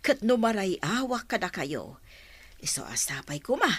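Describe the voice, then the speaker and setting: An actress playing a friendly older village auntie in an Ilocano radio drama, with an Ilocano accent. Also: female voice, medium pitch, big pitch swings, moderate pace, fairly bright tone, slightly rough, no echo. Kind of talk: Filipino radio drama acting.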